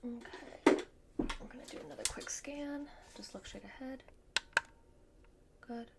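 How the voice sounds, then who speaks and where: A woman whispering in a breathy, gentle and reassuring murmur as she performs a soft-spoken ASMR roleplay. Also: fairly high pitch, unhurried, very noisy.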